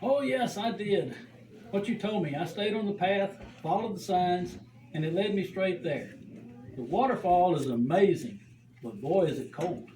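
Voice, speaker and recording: A performer voicing an excitable, adventurous puppet in a children's church show, telling things with dramatic exaggeration. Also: mid-range voice, moderate pace, lightly acted. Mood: upbeat. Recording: roomy, distant mic, faint background noise.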